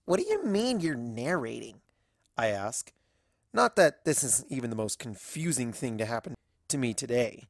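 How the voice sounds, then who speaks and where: A woman's voice: a bass voice, big pitch swings, normal speed, no echo, clean studio sound, full character voice. A woman voicing an anxious, bookish young female cartoon pony princess who tells her story in a wry, fretful, dramatic way. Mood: bewildered, exasperated. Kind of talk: fan fiction audio reading in character.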